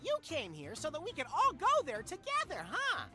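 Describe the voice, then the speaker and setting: A woman voicing an excitable, cheerful sidekick in a game, full of exclamations. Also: high-pitched, moderate pace, full character voice. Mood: excited. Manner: bubbly, exclamatory. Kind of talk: game voice acting. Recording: faint game music behind.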